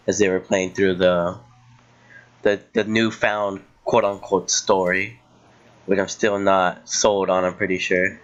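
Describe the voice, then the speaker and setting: Young man, low voice, dull lo-fi mic, sick, frustrated rambling rant. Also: a bit bright, smooth. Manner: frustrated rambling rant with many fillers and restarts. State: getting sick. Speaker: gaming channel host. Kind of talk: voice-over commentary over gameplay.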